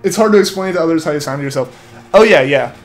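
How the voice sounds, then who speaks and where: A young man rambles through a live game stream, reading viewer comments aloud and padding nearly every phrase with "like". His voice sits a little high for a man and comes through a headset mic. Faint game music plays underneath. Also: expressive, fast talker. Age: young adult. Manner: rambling, filler-heavy, keeps saying like. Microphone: headset mic feeding back to headphones.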